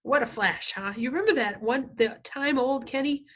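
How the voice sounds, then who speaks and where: A woman voicing a brash, slangy biker's girlfriend in a story, pestering and excitable. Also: high-pitched, moderate pace, voice pushed up. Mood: gleeful. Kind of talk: audiobook character dialogue.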